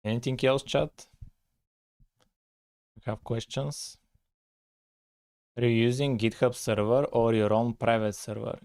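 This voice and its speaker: Male streamer, laid-back, mid-pitched, soft dark voice. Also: measured pace, long pauses, clear, resonant tone. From Bulgaria. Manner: relaxed, thinking aloud with frequent "uh". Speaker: live-streaming game developer. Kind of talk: casual live coding stream with chat.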